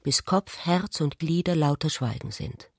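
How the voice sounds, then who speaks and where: A woman reading literary narration in a measured, contemplative manner for a radio play. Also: deep voice, a little mellow, slightly rough, no echo, clean studio sound.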